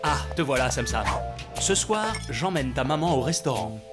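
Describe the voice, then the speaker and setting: A man's voice: medium pitch, expressive, normal speed, over loud music, natural voice. A man playing a jovial, affectionate father in a cartoon. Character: jovial, affectionate father taking his wife out. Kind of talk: cartoon voice acting.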